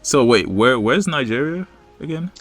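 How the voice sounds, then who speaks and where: A man's voice: mid-range voice, animated, normal speed, no room sound, faint music behind. A man hosting a podcast, asking questions in a hesitant way, often repeating his words. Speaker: podcast host. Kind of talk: casual interview.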